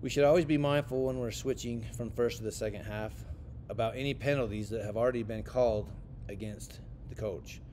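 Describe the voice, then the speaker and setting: An American man giving scripted training narration as an instructor for sports officials, in a measured, instructional way. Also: steady tone, a little mellow. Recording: clear rumbling background noise.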